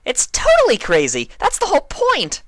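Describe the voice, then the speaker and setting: Man doing a squealing-high, hyper girl pony. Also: normal speed, dry recording, studio quality, exaggerated voice. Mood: excited. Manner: excitable, bouncy, gushing. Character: hyper, bubbly, childlike female party pony.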